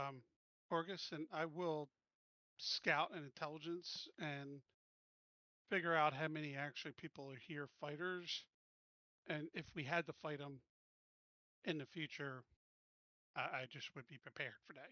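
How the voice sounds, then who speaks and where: A man with a bright, slightly high voice chats as a player in a tabletop game. He starts and restarts his thoughts in a hesitant way. The recording is dry and a little lo-fi. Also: steady tone, normal speed, long pauses, no room sound. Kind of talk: casual game-table chat.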